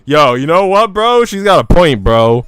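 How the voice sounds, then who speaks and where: A man's voice: a little high, big pitch swings, normal speed, a bit bright, clean tone, no room sound, studio quality, soft background music. A man live streaming, switching between casual slangy chat and reading visual novel narration aloud. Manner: slangy, informal.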